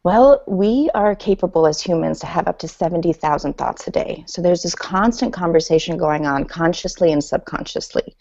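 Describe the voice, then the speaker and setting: Low gravelly woman, dull lo-fi sound. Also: animated, darkish tone, no room sound. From Iran. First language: Farsi. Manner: reflective, measured, many ums. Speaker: guest author and speaker. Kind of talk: podcast interview, personal story.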